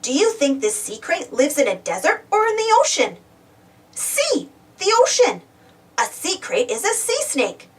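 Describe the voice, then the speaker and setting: Female children's storybook reader, high voice, highly animated and exclamatory, recorded a little away from the mic. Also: measured pace. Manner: animated, exclamatory, addresses young listeners with questions. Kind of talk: expressive children's read-aloud. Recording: distant from the mic.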